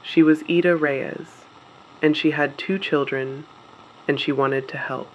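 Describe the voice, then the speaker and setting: A performer playing a solemn resistance radio broadcaster, reading names of the missing in a grave, measured, scripted delivery. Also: mid-range voice, steady tone, lo-fi sound, a little hiss or hum, close to an everyday voice. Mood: solemn, grieving. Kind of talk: scripted dystopian audio drama narration.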